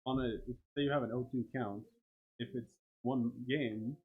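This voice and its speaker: A man's voice: medium pitch, steady tone, normal speed. A man acting as guest co-commentator at a game, chatting casually and informally in loose, short phrases on a muffled recording. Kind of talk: casual chat during a game. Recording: dull, muffled live commentary audio.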